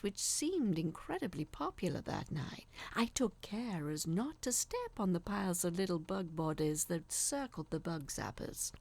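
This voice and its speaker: Woman playing a husky, creepy young man confessing. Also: a little high, heavily acted. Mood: hushed, tense. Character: crude, awkward, obsessive young male peeping Tom confessing his story. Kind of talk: scripted first-person horror story narration.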